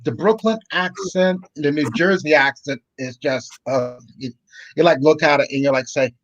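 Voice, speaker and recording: Guest, wry chatty man, slightly high voice, dull lo-fi dry audio. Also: moderate pace, fairly bright tone, no echo. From Boston area, US. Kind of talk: casual chat.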